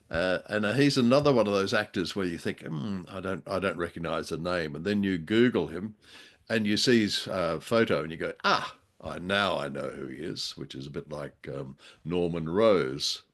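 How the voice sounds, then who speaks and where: A man co-hosting a conversational radio drama review, speaking in a measured, slightly hesitant and mildly wry way. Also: low-pitched. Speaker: co-host.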